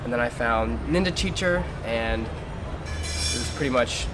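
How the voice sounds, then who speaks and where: A man's voice: medium pitch, animated, measured pace, heavy background noise. A young man answering interview questions in an easygoing, casual way, laughing now and then. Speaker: interviewee, new English teacher. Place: outdoors in a city. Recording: background music throughout.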